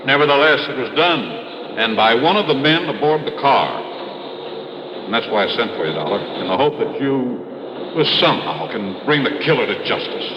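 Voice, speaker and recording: A man voicing a middle-aged mine manager who lays out the facts plainly and earnestly. The old radio broadcast sounds roomy and dull, with a long echo and a clear hiss underneath. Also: mid-range voice, animated, moderate pace, lightly acted. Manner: explanatory, matter-of-fact. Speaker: mine official. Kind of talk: 1950s radio drama acting.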